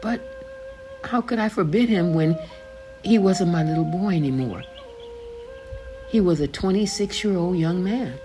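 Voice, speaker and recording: An older woman reading her own faith memoir aloud, slowly and deliberately, pausing to gloss the names in scripture. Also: fairly low pitch. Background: background music.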